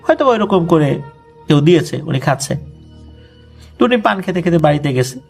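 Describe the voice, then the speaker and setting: A man's voice: fairly high pitch, sing-song, moderate pace, gravelly, a little mellow, soft background music. A man with a Bangladeshi accent narrating a ghost story conversationally, with explanatory colloquial asides.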